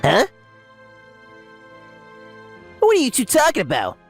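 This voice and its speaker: A woman's voice: a little high, wildly swooping pitch, quick pace, husky, soft background music, exaggerated voice. A woman playing a scheming, theatrical, mocking office villain in a manga dub. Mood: startled. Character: smug, scheming office villain who panics when cornered.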